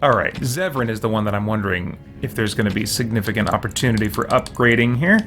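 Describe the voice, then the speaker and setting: A man giving casual let's play commentary, thinking out loud to himself as he makes decisions. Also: lowish voice, fast, soft background music. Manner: musing, talks to himself while deciding. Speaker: video game streamer.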